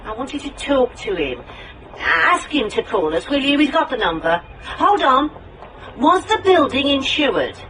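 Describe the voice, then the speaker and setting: An actress with a British accent playing a brisk, bossy, wry private investigator in a radio drama. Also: female voice, high-pitched, very animated, background noise. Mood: probing. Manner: brisk, commanding, teasing. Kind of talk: radio drama acting with noir monologue.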